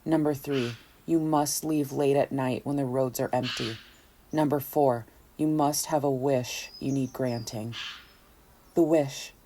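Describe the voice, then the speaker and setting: An actress playing a guilt-ridden, lonely woman, narrating a horror audio play in a somber, confessional, measured way. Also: sits low, mellow. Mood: grave reciting.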